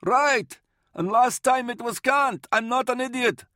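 A man's voice: extremely high pitch, measured pace, no room sound, clean studio sound, pitched above the performer's own voice, heavily acted. A man voicing a wary, stubborn soldier in an audiobook, crude and defiant as he calls out. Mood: defiant, suspicious. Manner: defiant yelling.

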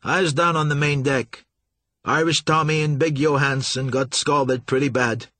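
A loyal old captain, played by a narrator pleads earnestly in plain folksy talk. His husky voice lifts somewhat above the telling. The sound is dry. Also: a little high, steady tone, normal speed, fairly bright tone, dry recording, pitched above the performer's own voice. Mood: worried. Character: old male boat captain, loyal and worried.

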